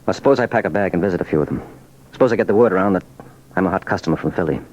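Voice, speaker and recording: An actor playing a cool undercover police sergeant in a radio crime drama, terse and businesslike. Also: male voice, low voice, very fast, darkish tone, close to an everyday voice. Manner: terse, businesslike, hard-boiled. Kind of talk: 1940s radio drama acting. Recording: muffled, faintly noisy old radio recording.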